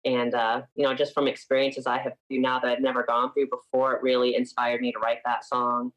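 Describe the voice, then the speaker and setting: A man's voice: medium pitch, completely flat delivery, fairly quick, a bit bright, poor audio. Chatty young man from upstate New York. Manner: chatty, frequent "like" fillers. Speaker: singer guest. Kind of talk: casual interview chat.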